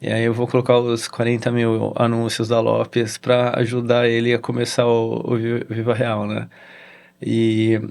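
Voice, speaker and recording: A middle-aged Brazilian man with a Brazilian Portuguese accent, a guest in a casual interview, telling a story in halting sentences with frequent "né?" tags. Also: medium pitch, completely flat delivery, vocal fry, darkish tone. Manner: halting, with many restarts and "né?" tags. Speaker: interview guest, real estate executive.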